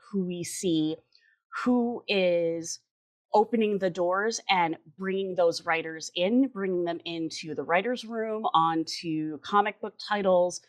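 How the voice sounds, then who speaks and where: A woman in her forties from the Chicago suburbs, giving an earnest, articulate interview answer with emphatic repetitions. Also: on the low side. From Chicago suburbs, US Midwest. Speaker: interview guest, writer and editor.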